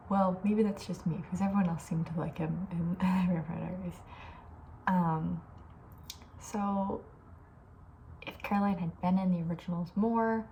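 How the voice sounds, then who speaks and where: A woman speaking in a soft, breathy ASMR style, often slipping into a whisper, chatting casually in a gentle, hesitant way. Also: on the low side, slight background noise, poor audio. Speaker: ASMR video creator, host.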